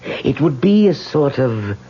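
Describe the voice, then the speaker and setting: An actor playing a frail, rich old man in a radio drama, slow, deliberate and insistent in his persuasion. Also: mid-range voice, sing-song, full character voice. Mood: insistent, persuasive. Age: older. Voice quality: husky, gravelly. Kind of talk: 1970s radio drama acting. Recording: dull old radio recording.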